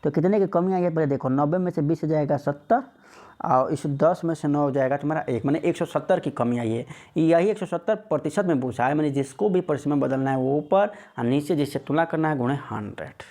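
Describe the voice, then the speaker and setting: A man's voice: fairly high pitch, fairly quick, dark tone. A man teaching an informal exam lesson, repeating phrases and putting rhetorical questions to students. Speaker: maths exam coach.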